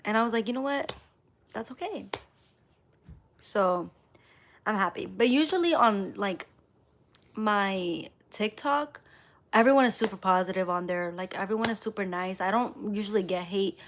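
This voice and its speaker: A woman's voice: medium pitch, moderate pace, pauses often. A woman chatting casually to viewers on a motivational livestream, speaking emphatically and repeating phrases for emphasis. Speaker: live streamer.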